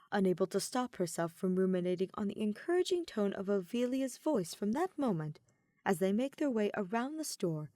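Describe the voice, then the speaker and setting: A woman reading scripted fiction as a narrator, with expressive, measured storytelling. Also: medium pitch, dry recording, clean studio sound. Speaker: podfic narrator.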